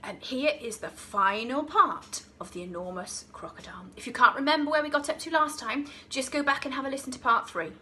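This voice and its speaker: A woman reading a children's story like an infant school teacher, expressive and with dramatic emphasis. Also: high-pitched, a little fast, hardly any pauses, a little hiss or hum.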